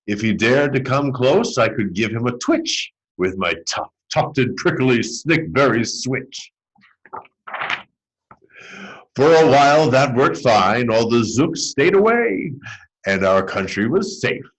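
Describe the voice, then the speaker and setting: A man acts out a very old storybook grandfather, grave and moralizing. The voice is husky and slow, with big indignant swings of pitch. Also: animated, poor audio. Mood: nostalgic pride.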